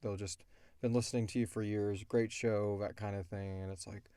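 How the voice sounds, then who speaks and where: A man's voice: on the low side, flat delivery, normal speed, mellow, clean tone, no room sound, studio quality. A man hosting a podcast in casual question-and-answer chat, rambling along with self-deprecating jokes. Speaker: podcast host and creator.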